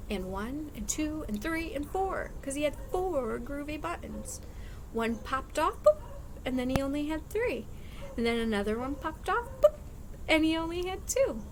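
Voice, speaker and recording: A woman reading a picture book aloud to young children as a teacher, expressive and sing-song, pausing to explain in simple words. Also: sits high, background noise. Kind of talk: animated children's read-aloud with singing and counting.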